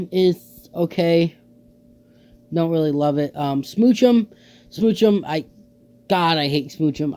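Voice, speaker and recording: High, dark-toned young man rambling casually. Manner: rambling, lots of ums and offhand opinions. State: slightly scratchy, dry throat. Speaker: YouTuber ranking creatures in a tier list. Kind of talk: casual unscripted commentary.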